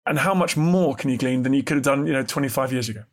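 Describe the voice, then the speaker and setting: A man's voice: medium pitch, fast talker. A man hosting a conversational interview, asking curious and probing questions. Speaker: host.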